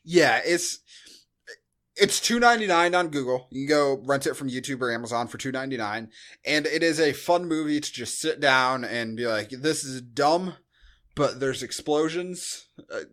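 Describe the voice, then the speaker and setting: A man's voice: mid-range voice, lively intonation, normal speed, fairly bright tone. An American man co-hosting a podcast in casual, rambling, joking conversation. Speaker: podcast co-host. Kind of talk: casual chat, movie review.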